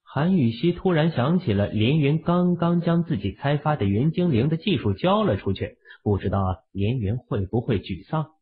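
A man's voice: on the high side, lively intonation, moderate pace, few pauses, slight background noise. A man narrating fiction from a script as an audiobook storyteller. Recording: phone-like, band-limited audio.

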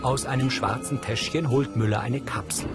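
A man reading scripted audio description narration in a neutral, measured way. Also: medium pitch, loud music behind the voice.

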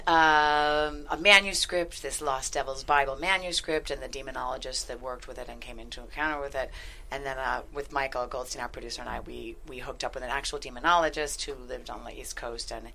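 Very low-voiced, bright woman from Chicago. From Chicago, United States. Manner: halting, thoughtful. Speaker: guest screenwriter. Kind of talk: unscripted interview answer. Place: small podcast studio.

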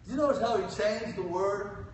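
An older man delivers a sermon from behind a wooden pulpit, his voice sitting high for a man. He rises to loud cries and drops to quiet asides. The sound comes from well back in a very echoey sanctuary, with a faint hiss underneath. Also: normal speed. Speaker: guest preacher. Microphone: pulpit mic, filmed from the pews. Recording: echoey church from far off.

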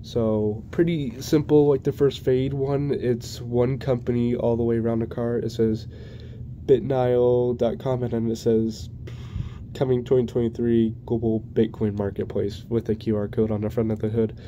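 A young man talks through a casual unboxing while fighting a cold, his voice a bit congested. He speaks in a flat, even tone, stalling often on ums and restarts. The home recording carries clear background noise. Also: medium pitch, normal speed, highs cut off. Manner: hesitant, many ums and restarts. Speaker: diecast collector reviewing model cars. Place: indoors at home, plain wall.

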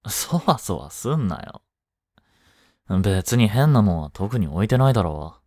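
A young man playing a blunt, teasing but caring studious high-school boy in an intimate audio drama, speaking casually. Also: very animated, a little slow, no echo, clean studio sound. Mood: casual, curt. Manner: casual, curt, softly teasing. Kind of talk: intimate Japanese boyfriend-roleplay audio drama.

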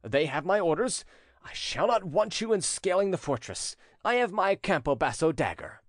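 Man acting a haughty, commanding prince, raised pitch. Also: sing-song, moderate pace, no room sound, clean studio sound. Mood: commanding, threatening. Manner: severe, commanding, insulting. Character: haughty, menacing prince bullying his servant. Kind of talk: audiobook character acting.

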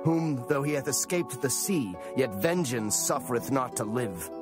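A man plays a suspicious male islander in a scripture audio drama. He passes judgement in an accusing way at a middling pitch, over clearly audible music. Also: expressive, measured pace, lightly acted.

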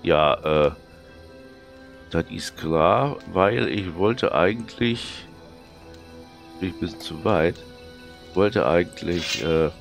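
Hoarse, gravelly man with a cold. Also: sits low, expressive, takes it slowly, pauses often, faint music behind. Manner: chatty, muttering to himself while playing. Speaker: let's play commentator. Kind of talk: casual gameplay commentary.

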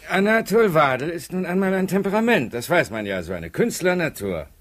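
An actor playing a well-mannered, gossipy gentleman in a 1950s radio drama, hesitant and confiding. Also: on the high side, sing-song, brisk. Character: discreet gossipy gentleman witness, a doctor. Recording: old radio play, faint hiss.

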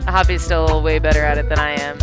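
A woman's voice: deep voice, little pitch movement, a little fast. A woman narrating a travel vlog in a casual, conversational style. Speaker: vlogger narrator. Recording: loud music over voice. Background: loud music.

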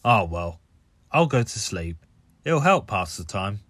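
A man's voice: fairly low pitch, sing-song, measured pace, a bit bright, slightly rough, no room sound, full character voice. A haughty old steam engine from a children's cartoon, acted by a man. He grumbles and scolds in an indignant, crotchety way, like an elder lecturing the young. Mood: grumpy, scolding. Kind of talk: amateur cartoon character voice reel.

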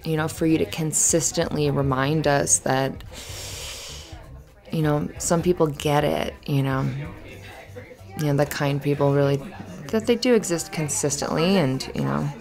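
Woman playing a flustered, flirty barista, low creaky voice. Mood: earnest, grateful. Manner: giggly, halting, rambling with repeated restarts. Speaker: ASMR roleplay voice performer. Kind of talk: intimate ASMR roleplay, improvised-sounding chatter. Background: soft music.

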